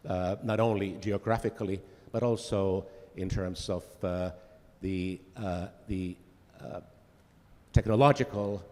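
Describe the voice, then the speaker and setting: A man from Finland delivers welcome remarks at a conference, halting often with fillers and restarts. His voice sits a little low. The sound carries the long reverb of a big hall. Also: mellow. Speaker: diplomat giving welcome remarks.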